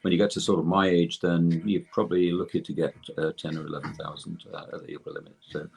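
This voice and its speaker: Older guy, deep husky voice, explaining technical stuff, stops and restarts a lot. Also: level tone. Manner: halting, self-correcting explanation. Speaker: guest expert in electrotherapy.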